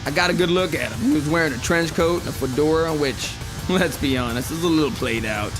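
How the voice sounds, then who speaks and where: Guy doing a cocky vampire rock star, high voice, showy and flippant, podcast drama w music behind. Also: a little fast, close to an everyday voice, poor audio. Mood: cocky, dramatic. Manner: boastful, flippant, theatrical storytelling. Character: cocky, theatrical male vampire rock star, vain storyteller. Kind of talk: fiction podcast acting, mock interview.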